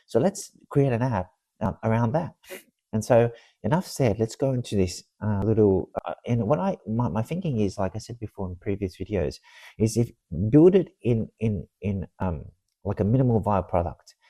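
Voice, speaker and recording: A man with a cold-roughened voice gives a casual, unscripted software walkthrough, rambling and often restarting his sentences. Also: lowish voice, expressive, no echo. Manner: rambling, halting, restarts sentences and repeats words. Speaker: software demo presenter for marketers.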